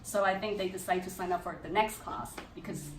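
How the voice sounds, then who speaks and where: A woman's voice: medium pitch, fairly even intonation, fairly quick. A woman, a parent, giving an informal testimonial speech to an audience. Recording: echoey room, distant mic, faint noise.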